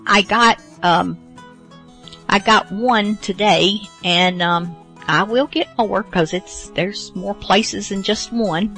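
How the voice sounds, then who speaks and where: A woman's voice: fairly low pitch, vocal fry, a bit bright. A middle-aged woman hosting a radio show, chatting casually and rambling with many fillers. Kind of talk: casual chat.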